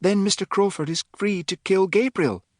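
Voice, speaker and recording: A man voicing a forthright young woman in an audiobook, raising his voice high and speaking plainly and matter-of-factly. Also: normal speed, dry recording, studio quality. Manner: plain-spoken, matter-of-fact.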